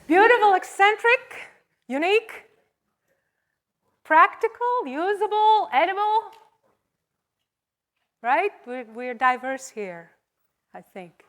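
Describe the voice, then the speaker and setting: A woman giving a conversational sermon to a congregation, speaking as a preacher in short, paused phrases. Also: extremely high pitch, sing-song, a bit bright.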